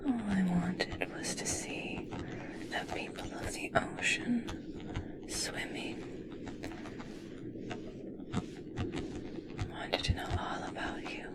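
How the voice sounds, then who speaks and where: A woman playing a gentle, obsessed scientist fascinated by merpeople in a whispered ASMR roleplay, speaking softly, slowly and soothingly. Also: on the low side, gravelly. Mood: obsessed, tender. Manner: soft, soothing, slow, coaxing.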